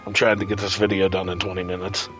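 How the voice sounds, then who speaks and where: A man's voice: sits low, little pitch movement, quick pace, over music. A man doing casual live gameplay commentary as a streamer, irreverent, sarcastic and freely profane. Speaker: game streamer.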